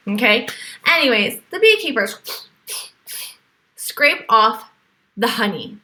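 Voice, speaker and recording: A woman with a high, bright voice reads aloud to a class of young children. She takes her time, exclaiming and asking questions as she teaches. Her delivery is animated and openly aimed at small listeners. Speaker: teacher reading to a virtual class.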